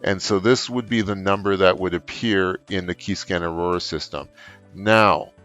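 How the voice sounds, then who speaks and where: Deep-voiced middle-aged man, halting tutorial. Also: fairly bright tone, highs cut off, faint music behind. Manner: halting, frequent filled pauses. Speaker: trainer, tutorial presenter. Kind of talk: unscripted software tutorial, step-by-step explaining. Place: office room indoors.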